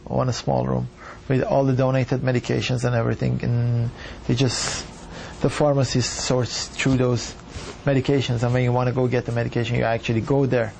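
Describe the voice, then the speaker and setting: A doctor from Iran telling his story in an earnest, measured way. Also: mid-range voice, creaky, a little mellow, a little hiss or hum. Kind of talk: reflective personal account.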